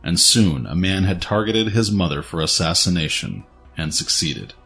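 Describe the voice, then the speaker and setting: A man's voice: low voice, big pitch swings, normal speed, creaky, bright, forceful tone, faint music behind. A man narrating a scripted dramatic story as its narrator.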